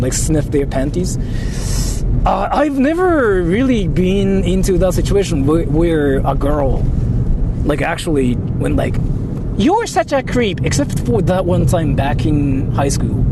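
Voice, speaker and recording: A man chatting casually on a livestream, rambling hesitantly and repeating himself. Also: on the high side. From Japan. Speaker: live streamer. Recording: loud car and street noise.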